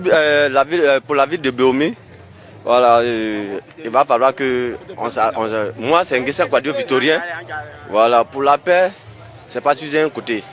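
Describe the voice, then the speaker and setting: A man with an Ivorian accent, a local resident making a halting, repetitive appeal outdoors. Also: mid-range voice, a bit bright.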